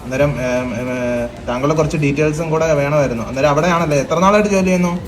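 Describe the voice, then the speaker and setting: A fairly high-pitched officer played by a man prank calling questions, polite yet probing and a little halting. He sounds like an official checking a complaint, with loud music playing behind him. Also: natural voice. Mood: probing, insistent. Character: officious male child helpline officer investigating a complaint. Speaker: prank caller. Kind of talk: prank phone call posing as official questioning.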